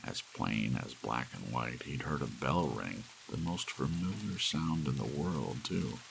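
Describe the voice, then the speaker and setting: A man reading an audiobook story in a dramatic, hushed storytelling style, as a narrator. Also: very deep voice, measured pace, creaky, rough recording. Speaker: audiobook reader. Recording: steady hiss of soft rain. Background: soft rain.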